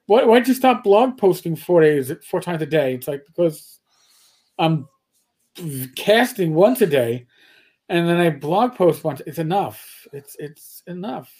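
A man's voice: a little high, expressive, unhurried, a little mellow. A man co-hosting a casual podcast chat, rambling and blunt, with frequent stammered restarts and swearing. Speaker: podcast co-host.

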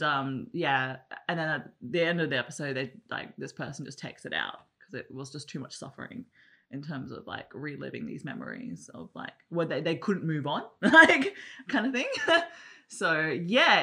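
A woman co-hosting a casual discussion, chatty and quick to laugh. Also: deep voice. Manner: chatty, laughs easily, lively swings in tone. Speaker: podcast co-host.

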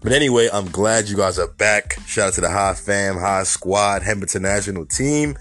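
A man with a New York accent hosting a casual solo podcast, talking in a chatty way full of "you know" and "honestly," his voice raspy and hoarse from a slight cold. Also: fairly low pitch, normal speed, a bit bright, soft background music. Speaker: podcast host.

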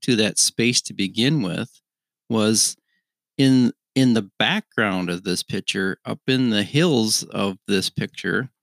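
A man's voice: fairly low pitch, takes it slowly, creaky, a bit bright, dry recording. A middle-aged man co-hosting a podcast, answering in brief, matter-of-fact remarks. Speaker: podcast co-host. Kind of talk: casual chat.

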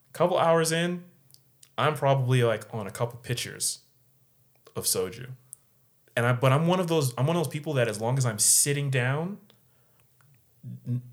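A man co-hosting a casual podcast, telling a story in an animated way and acting out the people in it. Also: mid-range voice, creaky. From San Diego area. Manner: animated, acts out quoted voices. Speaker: podcast co-host.

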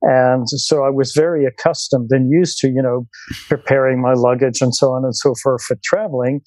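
A man's voice: medium pitch, fairly even intonation, normal speed, darkish tone, no echo, rough recording. A Swiss man in his sixties, a podcast interview guest who talks with many ums and restarts and laughs easily. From Switzerland. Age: 60s.

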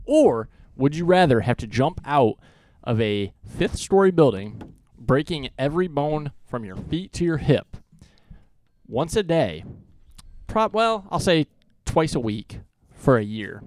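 A man's voice: on the high side, sing-song, measured pace, darkish tone, slight background noise. A man co-hosting a podcast in casual banter among friends. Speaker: podcast co-host.

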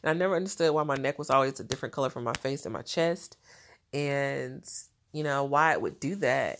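A woman with a nasal, stuffed-up voice talking in a casual diary vlog, chatty and laughing often. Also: low voice. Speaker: vlogger.

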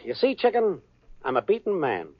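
A brash, fast-talking hustler. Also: male voice, high voice, wildly swooping pitch, heavy background noise. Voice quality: brash, wisecracking salesman patter. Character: fast-talking small-time male hustler boyfriend. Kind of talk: 1940s radio sitcom acting. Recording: dull old radio broadcast, audience laughter.

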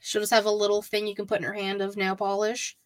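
A woman's voice: medium pitch, monotone, quick pace, no echo. A woman with a mid-range voice co-hosts a casual fan podcast. She talks in an informal, conversational way, recorded dry with almost no room sound. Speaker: podcast co-host.

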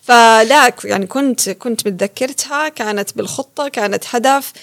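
A woman, born in Kuwait, telling her life story as an interview guest with lively laughter. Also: on the high side, normal speed. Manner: animated storytelling, laughs often. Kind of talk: casual interview.

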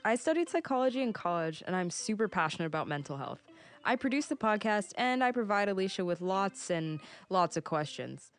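A soft-spoken woman co-host introduces herself in a friendly way. Her pitch moves with lively swings. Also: brisk. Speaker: podcast producer and co-host. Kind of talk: podcast intro.

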